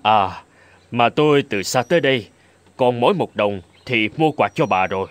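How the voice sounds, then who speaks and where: Man playing courteous poet nobleman, animated delivery. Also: mid-range voice, normal speed, clear, resonant tone, close to an everyday voice. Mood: polite. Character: courteous middle-aged male poet and nobleman. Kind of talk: Vietnamese folk-tale cartoon dubbing. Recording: faint music behind the voice.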